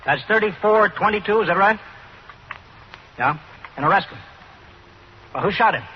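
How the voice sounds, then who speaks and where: An American actor playing a dutiful, clipped police desk sergeant in an old-time radio drama. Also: male voice, high voice, lively intonation, normal speed. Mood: urgent, controlled. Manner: clipped, official. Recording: muffled, noisy old radio recording.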